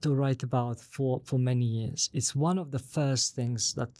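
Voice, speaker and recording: A man's voice: mid-range voice, fairly even intonation, moderate pace, mellow, dry recording. A man with a Bosnian accent, a native Bosnian speaker, answers as an interviewed author in a conversational way, hesitant and often repeating words as he thinks.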